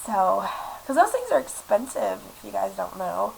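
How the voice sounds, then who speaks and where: Young woman vlogger, sick and stuffed up, gravelly voice, chatty, rambling, filler-heavy, recorded on a laptop webcam mic with faint hiss. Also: mid-range voice, very animated, normal speed, low-quality recording. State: sick, congested with a cough. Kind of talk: casual chat to camera. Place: small apartment room indoors.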